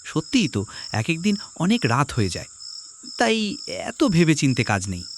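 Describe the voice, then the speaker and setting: A man's voice: on the high side, wildly swooping pitch, moderate pace. A man playing an uneasy young village office worker, earnest and apprehensive, in a horror cartoon. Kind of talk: Bengali horror cartoon dialogue. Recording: faint noise with chirping crickets.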